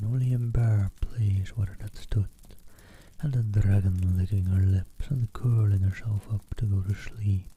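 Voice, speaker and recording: A man streaming an ASMR reading, soft, whispery and unhurried, greeting viewers between passages. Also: lowish voice. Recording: tinny phone-like line, faint hiss.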